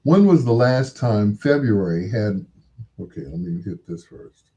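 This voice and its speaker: A man's voice: on the low side, lively intonation, unhurried, warm tone, slightly rough. An American man hosting in a casual, rambling monologue, musing aloud and posing rhetorical questions. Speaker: radio-style host.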